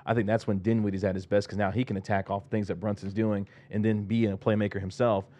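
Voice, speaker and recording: A man's voice: fairly low pitch, little pitch movement, fast, mellow. A man giving conversational sports analysis as a panel commentator.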